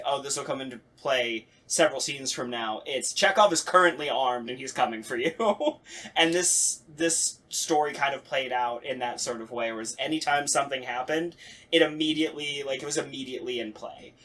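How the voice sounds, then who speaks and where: A man's voice: medium pitch, fairly bright tone. Man chatting casually, telling stories. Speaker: podcast host. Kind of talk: casual chat about radio plays.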